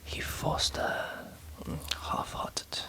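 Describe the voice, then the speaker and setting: A man's voice: extremely slow, sharp tone. A man whispering as he reads and edits a story aloud, with hesitant fillers and self-corrections. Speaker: writer editing a story aloud. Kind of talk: whispered ASMR story editing.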